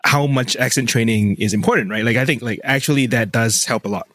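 A man from Singapore with a light Singaporean English accent, hosting an interview with quick, joking asides. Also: medium pitch, a bit bright, dry recording. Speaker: podcast host. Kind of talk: casual interview questions.

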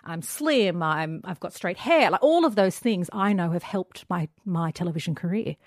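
An Australian woman with a fairly low voice speaks as a guest author in a podcast interview. She talks with animated, candid emphasis. The recording is dry and close. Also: moderate pace, no echo. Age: young adult. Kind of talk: conversational interview.

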